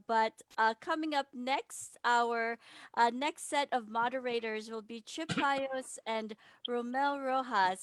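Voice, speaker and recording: A woman's voice: a little high, a bit bright, no echo. A woman hosting a live online open mic, enthusiastic and encouraging. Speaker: host. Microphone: video call.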